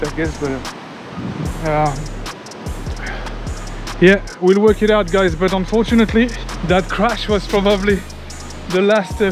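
A man in his thirties from France, vlogging casually outdoors on a jungle trail with a handheld action camera, chatty and excitable, sweaty and a little out of breath from climbing. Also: high-pitched. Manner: chatty and excitable, talks to viewers as guys, swears. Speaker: travel vlogger. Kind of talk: casual vlog commentary. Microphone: handheld action camera at arm's length.

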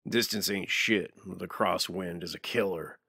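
A man's voice: low voice, normal speed, pushed and bright, slightly rough, no echo, studio quality, exaggerated voice. A man voicing a bitter, laconic ex-military sniper, terse and grumbling, in a motion comic. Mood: deadpan. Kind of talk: motion comic character acting.

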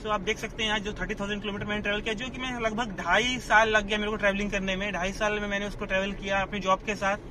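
A man's voice: sits high, level tone, quick pace, few pauses. A young man, a vlogger, chatting casually about his trips while driving, slipping English phrases in with hesitant fillers. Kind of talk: casual travel vlog chat. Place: inside a moving car, then outdoors at a fort. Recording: noisy road and car background.

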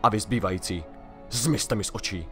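A man's voice: fairly low pitch, close to an everyday voice. A man playing a proud, hot-tempered young prince in a fantasy audio drama, speaking coldly and imperiously. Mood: cold, commanding. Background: music.

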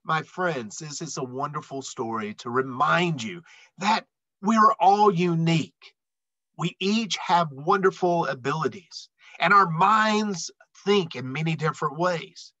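A middle-aged man reading a children's picture book aloud expressively, with emphatic, dramatic phrasing and drawn-out words. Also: a little high, sharp tone, no echo, dull audio. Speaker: read-aloud storyteller.

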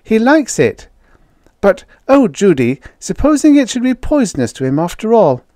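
A man voicing an anxious, excitable young woman settler in a story, exclaiming and fretful. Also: high voice, moderate pace, no echo, clean studio sound, pitched above the performer's own voice. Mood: excited, worried.

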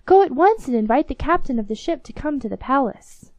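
A woman voicing an excitable fairy-tale king. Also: medium pitch, moderate pace, no echo, dull audio, natural voice. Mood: astonished.

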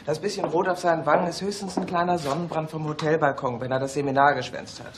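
A man as a dry, higher-voiced office sleuth. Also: steady tone, quick pace, background noise, close to an everyday voice. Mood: dry reproach. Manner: dry, matter-of-fact. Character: shrewd, outspoken police office colleague. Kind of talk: German radio play acting.

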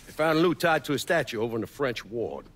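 An actor playing a blunt, weary middle-aged mob boss in a dramatic video game. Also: male voice, medium pitch, animated, fast, fairly bright tone. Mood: bitter, brooding.

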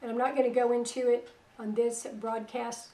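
A middle-aged woman hosting religious internet radio. Her voice is weak after a stroke, and she talks in a soft, plain-spoken, unhurried way. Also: a little high, flat delivery, roomy sound, far from the mic, low-quality recording. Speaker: radio host. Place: small room at home, indoors. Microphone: headset.

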